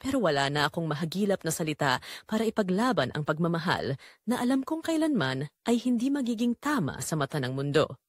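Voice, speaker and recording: A woman narrates a scripted romantic drama in the first person. Her delivery is solemn and emotional, with a lively, moving pitch. The recording is very dry. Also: a little fast, no room sound.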